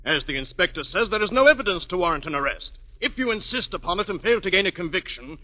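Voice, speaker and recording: An actor playing an anxious, persuasive opera director in formal, placating 1940s radio style. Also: male voice, high-pitched, very animated, fast. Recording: muffled 1940s radio broadcast.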